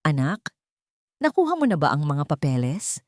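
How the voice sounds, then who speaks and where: A woman's voice: deep voice, big pitch swings, moderate pace, a little mellow, no echo. A cold, scheming stepmother from an audio drama, voiced by a woman, gives curt orders full of contempt. The sound is dry and close. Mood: cold, greedy, urgent. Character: cold, greedy, menacing scheming stepmother on phone.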